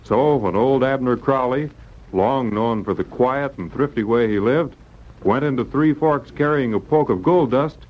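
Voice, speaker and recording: A man's voice: mid-range voice, a little slow. A man giving scripted narration as the narrator of an old-time radio drama. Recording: muffled old radio recording. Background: music.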